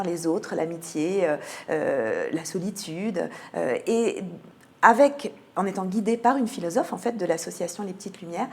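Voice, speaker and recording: A woman gives interview answers as a cultural programmer. Her voice sits at a medium pitch, and she hesitates often, stretching pauses between phrases as she explains. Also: a little mellow. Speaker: theatre cultural programming manager, radio guest.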